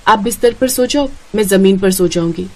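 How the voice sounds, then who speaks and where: Woman voicing a timid, gentle young bride. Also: medium pitch, moderate pace, close to an everyday voice.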